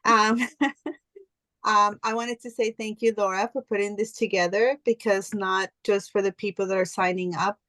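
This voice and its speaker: Slow, unhurried middle-aged woman on a call. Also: medium pitch, fairly even intonation, fairly bright tone, dry recording, rough recording. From Colombia, raised in the United States. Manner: unhurried, halting, with ums and restarts. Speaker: yoga and meditation teacher, panelist. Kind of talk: casual personal introduction on a panel. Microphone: video call.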